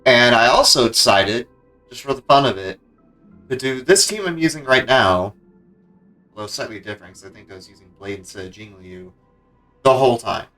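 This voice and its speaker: Casting: male streamer, casual gameplay commentary, bright forward voice over faint game music. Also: mid-range voice, lively intonation, normal speed.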